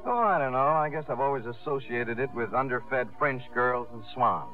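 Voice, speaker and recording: A man's voice: mid-range voice, animated, moderate pace, natural voice. An actor playing a brash, enthusiastic, breezy showman in a 1950s radio drama. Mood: flustered flirting. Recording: muffled 1950s radio broadcast, faint music.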